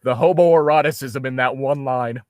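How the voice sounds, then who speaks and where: A man's voice: mid-range voice, normal speed, a little mellow, no room sound. Sarcastic young man, close dry sound. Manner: sarcastic, swears freely. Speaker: reader and co-host. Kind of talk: mocking fan fiction reading with casual commentary.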